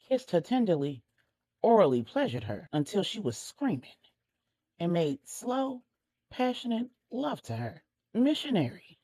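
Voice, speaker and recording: Lively, husky woman storyteller. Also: on the low side, no room sound. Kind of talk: expressive story narration, conversational asides.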